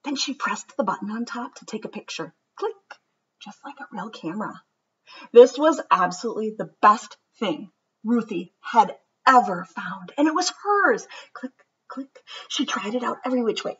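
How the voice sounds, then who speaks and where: A woman giving a gentle, reflective introduction, then reading a children's book aloud. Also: on the high side, expressive, moderate pace, husky. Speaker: read-aloud presenter. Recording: slightly muffled recording.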